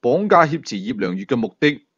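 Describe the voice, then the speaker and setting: A man's voice: mid-range voice, big pitch swings, a little fast, fairly bright tone, highs cut off. A man with a Hong Kong Cantonese accent narrating a thriller story dramatically as an audiobook storyteller.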